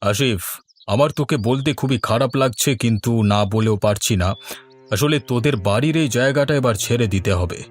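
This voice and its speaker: A man playing a scheming middle-aged village landlord in a cartoon, talking in a measured, patronising way with veiled threat. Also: medium pitch, natural voice. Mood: smoothly threatening. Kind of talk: Bengali cartoon story voice acting. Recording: music behind the voice.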